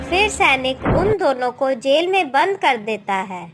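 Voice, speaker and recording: ऊँची आवाज़ वाली महिला कथावाचक. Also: female voice, high voice, very animated, measured pace. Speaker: storyteller. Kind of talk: scripted moral-story narration. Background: background music.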